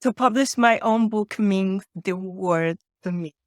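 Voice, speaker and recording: A woman's voice: mid-range voice, measured pace, a little mellow, no echo, clean studio sound. A woman from Brazil with a Brazilian accent and Portuguese as her native language, talking conversationally as a guest in an interview. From Rio de Janeiro, Brazil. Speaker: podcast guest, author and podcaster.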